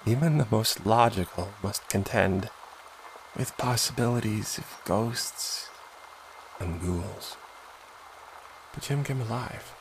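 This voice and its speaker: An actor in an audio drama playing a proud, suspicious, dismissive official at a powerful court. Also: male voice, on the low side, slow, vocal fry, pauses often, slight background noise, poor audio. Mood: brooding, fearful. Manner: imperious, dismissive, brooding.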